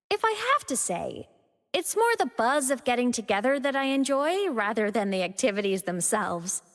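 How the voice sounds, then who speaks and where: A youthful female ghost, a video game student with a fairly high voice in long reverb. She is soft and tender, earnest and devoted, with a playful lightness over her wistfulness. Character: young female student turned digital ghost, cheerful yet wistful, devoted. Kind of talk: scripted video game character monologue.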